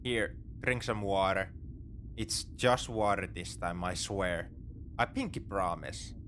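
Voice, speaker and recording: A man's voice: deep voice, takes it slowly, fairly bright tone, a little hiss or hum. A man playing a morally grey space pirate captor in a sci-fi ASMR roleplay, talking in a casual, wry, coaxing way. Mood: encouraging, playful. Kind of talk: second-person sci-fi ASMR roleplay acting.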